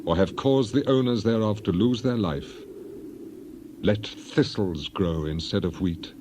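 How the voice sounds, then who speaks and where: A man's voice: on the low side, a little slow, noisy background, lightly acted. A man playing a proud, suffering man defending his innocence in dramatized scripture, solemn and declamatory. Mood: solemn, defiant. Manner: declamatory, solemn, archaic diction.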